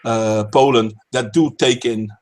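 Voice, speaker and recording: A man's voice: mid-range voice, fairly even intonation, unhurried, a little mellow. A man hosting a casual stream chat, talking politics emphatically, swearing and repeating words as he starts sentences. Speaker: stream host.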